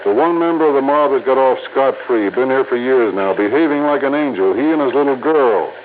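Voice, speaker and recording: An actor playing a gruff, suspicious police lieutenant in a 1950s radio drama, with a blustery, insistent manner. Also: male voice, mid-range voice, lively intonation, brisk, natural voice. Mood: grave, warning. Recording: tinny, noisy old radio recording.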